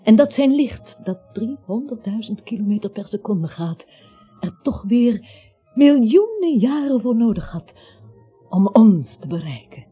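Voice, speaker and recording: A woman's voice: fairly high pitch, sing-song, measured pace, warm tone, husky, heavily acted. An actress playing a dreamy yet streetwise woman in a radio play, with a musing manner of speaking. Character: dreamy, streetwise woman philosophising, frankly businesslike. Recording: muffled old radio play, music behind.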